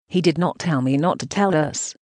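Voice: medium pitch, normal speed, no echo. A synthetic text-to-speech voice reading translated news narration in a choppy way, speaking punctuation marks aloud.

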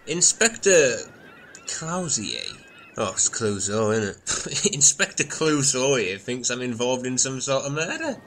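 A man's voice: medium pitch, very animated, measured pace, fairly bright tone, a little hiss or hum. A man playing an irritable, sweary castaway in a loose comic audio drama. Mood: exasperated. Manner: blunt, sweary, exasperated.

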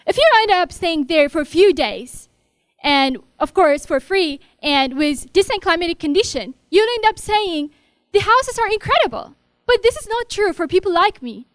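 A young Ethiopian woman with an Ethiopian accent, giving a storytelling research pitch into a handheld mic in a large hall. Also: lively intonation, normal speed. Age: young adult. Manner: storytelling, vivid and direct. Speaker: graduate student pitching research. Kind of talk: memorized elevator pitch. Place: large hall with glass walls, indoors. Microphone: handheld microphone.

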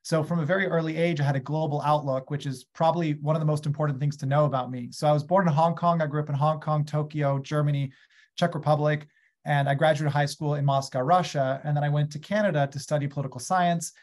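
An enthusiastic man in his thirties with an American accent talks through a webcam from a small room at home. His voice sits a little high for a man, and he keeps an eager, gesturing energy as he explains his work to a webinar audience. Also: steady tone, fast, a little mellow. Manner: enthusiastic, gestures a lot while speaking. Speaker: guest speaker, agriculture company founder. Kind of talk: conversational webinar talk. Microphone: webcam on a video call.

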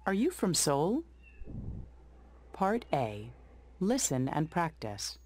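Female coursebook announcer: low, measured, slow, with lively intonation. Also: a little hiss or hum. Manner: slow, measured reading of exercise numbers. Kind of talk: scripted instructional announcement.

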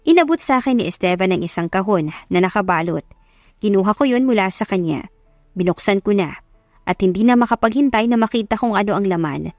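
A woman's voice: medium pitch, lively intonation, brisk, darkish tone, no echo, clean studio sound, faint music behind. A woman reading a scripted first-person love story as an audiobook narrator.

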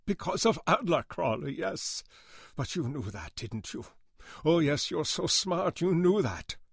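A man voicing a haunted, angry older male janitor, a camp survivor, with a thick, guttural foreign accent and a halting, trembling delivery. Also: medium pitch, expressive, breathy edge, no echo, studio quality, voice pushed up. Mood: anguished rage. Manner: halting, trembling, emotionally raw.

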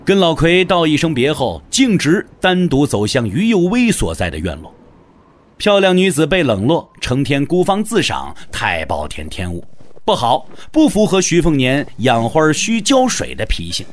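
Very animated male story narrator. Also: a little high, normal speed. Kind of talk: scripted dramatic storytelling narration.

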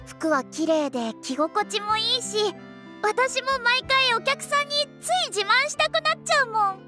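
A woman's voice: very high voice, expressive, moderate pace, loud music behind the voice. An actress voicing a sweet, earnest young cat-spirit girl with a cute, guileless manner in a Japanese anime-style game. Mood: earnest, admiring.

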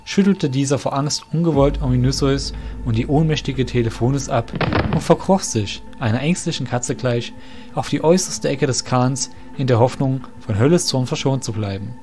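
A man's voice: mid-range voice, normal speed. A man narrating a scripted fantasy audio drama as storyteller. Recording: music under the voice.

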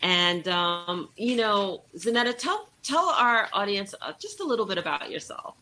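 A woman's voice: medium pitch, animated, moderate pace, sharp tone, slight background noise, poor audio. A middle-aged woman hosting a conversational interview over a video call in a welcoming, chatty way. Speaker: host. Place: indoor room, video call.

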